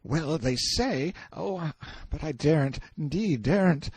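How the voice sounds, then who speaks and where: A man's voice: on the high side, wildly swooping pitch, takes it slowly, dark tone, husky, no echo, pitched above the performer's own voice, exaggerated voice. A man voicing a timid young medieval page boy who speaks in archaic, courtly exclamations in an audiobook story. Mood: anxious, hesitant. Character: timid, light-hearted medieval page boy, superstitious and easily frightened.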